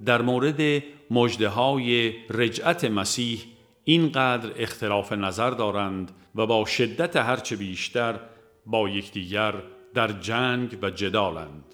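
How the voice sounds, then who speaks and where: A man reading a religious audiobook in a measured, formal and deliberate scripted narration. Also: on the low side, fairly bright tone. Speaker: audiobook reader.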